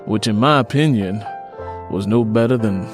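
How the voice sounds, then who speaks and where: A man's voice: on the low side, moderate pace, music in the background, close to an everyday voice. A man playing a downcast, wounded man with a cheerless tone in an audio drama. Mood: sad, resigned. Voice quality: cheerless, sad tone. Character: wounded, downcast man hiding old childhood pain.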